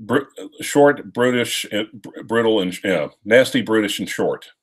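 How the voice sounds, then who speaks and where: A man's voice: on the low side, measured pace, slightly rough. A man about to turn fifty-one, co-hosting a casual live-stream chat with dry, wry humor. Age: 50s.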